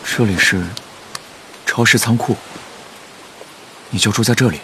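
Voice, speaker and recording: A man acting in a radio drama as a composed, considerate young gentleman from the upper city, speaking in a measured, concerned way. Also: fairly low pitch, slight background noise. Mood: curious, polite. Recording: prominent music behind the voice.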